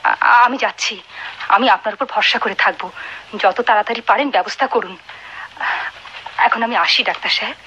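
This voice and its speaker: An actress with a Bangladeshi accent playing a desperate, wealthy wife who longs for a child, imploring and insistent in radio drama style. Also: female voice, a little high, normal speed, long pauses, bright, forceful tone. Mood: desperate pleading. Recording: dull, noisy old radio recording.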